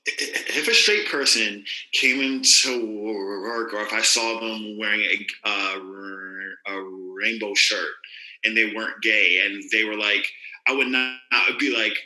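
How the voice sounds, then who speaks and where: A man in a casual discussion, arguing his point in halting restarts. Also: on the low side, fairly even intonation, pushed and bright, reverberant, poor audio. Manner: argumentative, halting.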